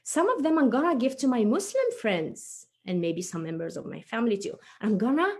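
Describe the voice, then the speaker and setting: A woman's voice: a little high, big pitch swings, brisk. A woman voicing an eager, conscientious child puppet who thinks aloud and explains things simply in a children's story show. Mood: cheerful, eager. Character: chatty, eager young child puppet, impatient but conscientious.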